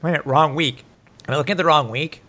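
A man's voice: a little high, very fast, bright, forceful tone. A man hosting a casual sports podcast, rambling with many fillers and poking fun at himself. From English-speaking, living in Montreal. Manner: rambling, filler-heavy, self-deprecating. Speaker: podcast host.

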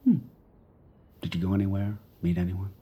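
A man's voice: sits low, little pitch movement, normal speed, slightly rough, muffled recording. A man playing a controlling, possessive wealthy boyfriend in an audio drama, commanding and probing, with a teasing edge. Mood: probing, menacing. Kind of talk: naturalistic audio drama acting.

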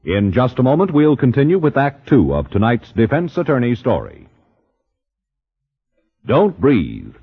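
A man's voice: mid-range voice, slightly rough. A man announcing a sponsor message in an emphatic 1950s radio commercial style. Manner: emphatic salesman delivery. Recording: muffled old 1950s radio recording.

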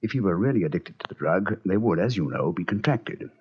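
A brisk, self-assured detective in a low, husky voice that moves lively up and down. He explains things in a crisp, confident way, and the sound is dull, like a vintage radio broadcast. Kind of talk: 1940s radio acting.

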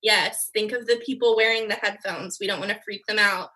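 Woman drama coach, informal delivery, sharp bright tone, rough husky edge. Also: medium pitch, steady tone, a little fast, rough recording. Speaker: drama instructor. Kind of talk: spoken coaching and explanation.

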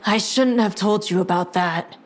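A woman's voice: medium pitch, moderate pace, close to an everyday voice. Meek twelve-year-old boy acted by a woman. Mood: guilty, evasive. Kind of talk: audio drama acting.

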